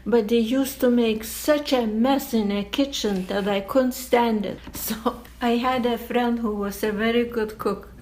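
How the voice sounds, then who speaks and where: Older woman, Lithuanian native, unhurried and careful, faint hiss under the voice. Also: fairly high pitch, fairly even intonation, a little mellow, low-quality recording. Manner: slow, deliberate, explaining patiently. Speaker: interviewee. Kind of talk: oral history interview.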